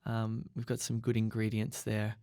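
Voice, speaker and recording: A man's voice: lowish voice, completely flat delivery, moderate pace, mellow, no room sound, studio quality. A man hosting a casual podcast chat, talking about courses with enthusiasm and lots of fillers. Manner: enthusiastic, promotional, with many fillers. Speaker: podcast host.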